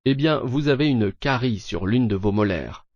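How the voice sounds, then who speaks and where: A man's voice: medium pitch, animated, normal speed, darkish tone, no room sound, dull audio, close to an everyday voice. A man acting as an assured character in a scripted language-lesson dialogue. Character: assured man, car buyer or dentist.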